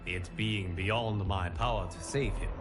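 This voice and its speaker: An actor playing a calm, solemn male fire god who briefs the player in a game cutscene, speaking in measured, formal words with music behind. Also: fairly low pitch, natural voice. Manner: measured, grave, formal diction. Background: game score music.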